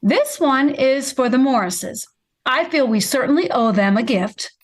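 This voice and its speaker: Woman as patient sitcom mother, high and lilting. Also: lively intonation, unhurried. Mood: gently insistent. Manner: explanatory, gently insistent. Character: patient, practical middle-aged housewife and mother. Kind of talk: vintage radio sitcom acting.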